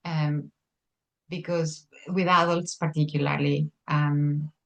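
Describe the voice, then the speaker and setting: A woman with a notably low voice speaks thoughtfully as a perfumer and psychotherapist in a conversational interview. She pauses often, with many fillers and restarts. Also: level tone, vocal fry, dull audio.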